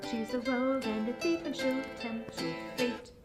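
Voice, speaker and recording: A woman's voice: a little high, steady tone, normal speed, close to an everyday voice. A young woman playing a traveling bard, singing folk ballads in a fantasy audio roleplay. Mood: storytelling, playful. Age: young adult. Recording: roomy echo, plucked instrument music behind.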